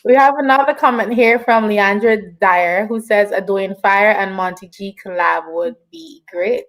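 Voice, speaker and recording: A woman with a medium-pitched voice hosts an informal online interview. She is encouraging and affirming, moving between chatty responses and reading out audience questions. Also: measured pace, rough recording. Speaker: online show host, interviewer.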